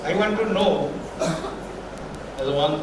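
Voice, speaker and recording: A man serving as chairman addresses the audience slowly, posing questions. His higher voice is distant and echoing, with room noise around it. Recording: echoey hall, far mic, ambient noise.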